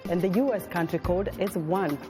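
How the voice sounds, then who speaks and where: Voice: mid-range voice, moderate pace. Call-in show host over music.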